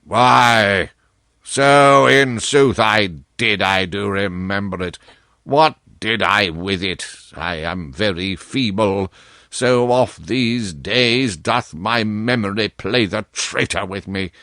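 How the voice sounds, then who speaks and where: A man voicing a frail old king in a storybook, with slow, commanding archaic royal speech. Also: fairly low pitch, sharp tone, dry recording, studio quality, heavily acted. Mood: confused, feeble. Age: older. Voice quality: feeble, weighty. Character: frail old dying king, wrathful and sorrowful by turns.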